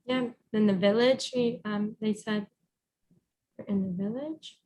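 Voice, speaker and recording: A woman reading a storybook aloud as a teacher, encouraging and pausing to guide with questions. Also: mid-range voice, mellow, slight background noise, rough recording. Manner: encouraging, instructive.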